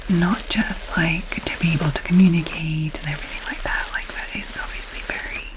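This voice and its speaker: A woman with a Scottish accent, whispering slowly and intentionally in a chatty ASMR video, musing aloud close to the mic. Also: lowish voice, low-quality recording. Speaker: ASMR creator.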